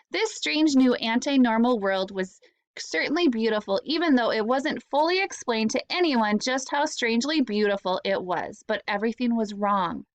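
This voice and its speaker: Teacher lady reading a kids book out loud, high voice, really close dry sound, leans on key words. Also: no echo. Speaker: elementary school teacher reading aloud. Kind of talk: expressive children's storybook read-aloud.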